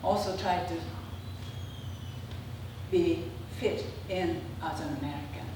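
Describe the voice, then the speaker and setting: An older woman from Japan with a Japanese accent and native Japanese, giving a prepared autobiographical slide talk in measured, halting phrases. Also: fairly low pitch. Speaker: presenter, traditional Japanese dancer. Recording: echoey room, distant mic, clear background noise.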